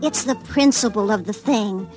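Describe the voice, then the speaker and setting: A woman's voice: medium pitch, moderate pace, darkish tone, faint music behind, natural voice. An actress playing a prim, exasperated young girlfriend who scolds in a literal-minded way, in old radio comedy style. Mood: nagging. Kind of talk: 1950s radio comedy acting.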